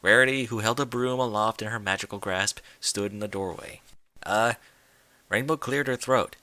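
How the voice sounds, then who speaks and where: A man giving an expressive scripted narration of a story, as in an audiobook. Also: on the low side, fairly quick, dry recording.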